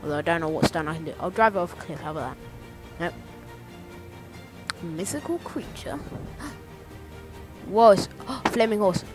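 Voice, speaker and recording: A man giving casual, rambling let's-play commentary, playful and easily excited. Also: high-pitched, a little slow, pauses often. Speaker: gameplay commentator. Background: game music.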